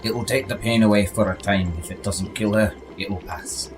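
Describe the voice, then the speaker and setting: A man's voice: on the low side, fairly even intonation, a little fast, natural voice. A man voicing a small, blunt, matey creature who keeps a potion shop in a fantasy audio drama. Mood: grave, blunt. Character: small genderless teal creature, gruff frank potion shopkeeper. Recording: music behind the voice.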